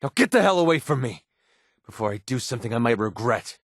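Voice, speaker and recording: A guarded young male mercenary from a video game, with a mid-pitched voice in a very dry recording. He is sarcastic and quick to bristle, yet loyal underneath. His delivery is naturalistic and plain-spoken, with an earnest, wounded edge. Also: very animated, vocal fry, breathy edge, no echo, full character voice. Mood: hurt, angry. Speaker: companion character in a video game.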